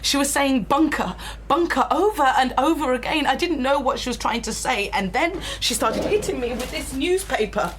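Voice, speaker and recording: A woman's voice: high-pitched, lively intonation, normal speed, hardly any pauses. A woman playing a blunt, sardonic and bitter partner in a naturalistic radio drama. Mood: grave, distressed. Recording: noisy ambient radio drama soundscape.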